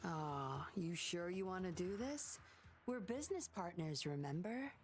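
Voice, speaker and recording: A woman's voice: on the low side, lively intonation, measured pace, faint music behind. A woman playing a confident, cool agent in a video game, with a playful, taunting delivery. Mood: teasing, cool.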